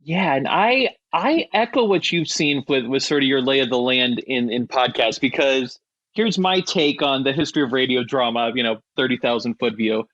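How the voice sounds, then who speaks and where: A man's voice: medium pitch, moderate pace, a bit bright, dry recording, highs cut off. A man hosting a podcast in a casual, conversational interviewing style. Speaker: podcast host.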